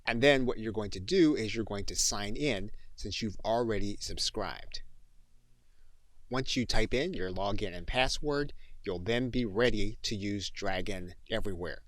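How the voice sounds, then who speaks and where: A man narrating a software tutorial as an instructor, in a methodical step-by-step way. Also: fairly low pitch, measured pace, no echo.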